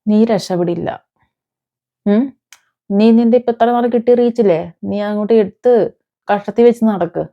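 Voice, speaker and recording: A woman's voice: mid-range voice, normal speed, long pauses, muffled recording. A woman delivering an impassioned, confrontational vlog rant, scolding someone with repeated rhetorical questions. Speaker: vlogger. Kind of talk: impassioned rant addressed to an absent person.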